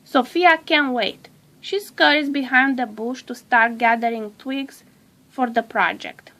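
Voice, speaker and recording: A woman narrating a children's storybook aloud in a deliberate way, drawing out some words. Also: on the high side, bright, forceful tone.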